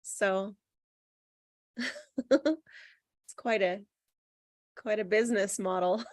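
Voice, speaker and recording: A woman with a medium-pitched voice joins a casual group chat. She sounds enthusiastic and informal, recorded dry with hardly any echo. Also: extremely slow.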